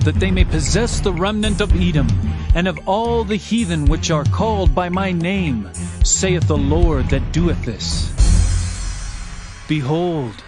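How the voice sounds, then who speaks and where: A man narrating a dramatized scripture reading in a solemn, declamatory manner. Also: high-pitched.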